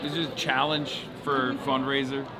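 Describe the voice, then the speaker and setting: A man's voice: medium pitch, little pitch movement, moderate pace, rough recording. A male streamer host chatting casually and jokingly at an outdoor protest, with loose slang. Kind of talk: casual street chat. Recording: loud crowd noise behind. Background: loud crowd.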